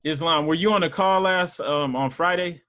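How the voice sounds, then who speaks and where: A man's voice: a little high, normal speed, bright, forceful tone. A man casually teaching a Sunday school group over a video call, talking loosely with lots of fillers. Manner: loose and conversational, many fillers.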